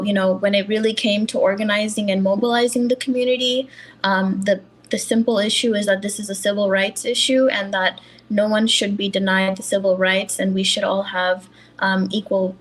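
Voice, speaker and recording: Californian woman, even-toned and hesitant. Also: mid-range voice, moderate pace, poor audio. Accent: American, Californian. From California, USA. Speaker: interview guest, community activist. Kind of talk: earnest interview testimony.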